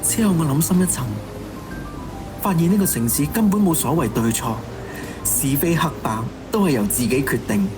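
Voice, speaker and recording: Guy doing a self-appointed executioner villain, hong kong cantonese, scornful n cynical, really animated, radio play w music under it. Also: a little high, normal speed, lightly acted. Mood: brooding, confessional. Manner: theatrical taunting monologue with scornful laughs. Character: unrepentant male serial killer, self-styled executioner, cynical, fanatical. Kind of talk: radio drama acting.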